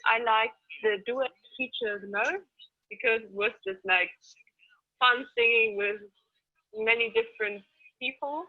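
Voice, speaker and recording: Woman in a testimonial clip, slightly high voice, tinny phone-like audio. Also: a little slow.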